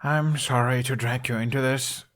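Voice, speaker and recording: A man's voice: medium pitch, steady tone, normal speed, fairly bright tone, pitched above the performer's own voice, lightly acted. A man voicing an awkward, exasperated young prince on the run in an audiobook story.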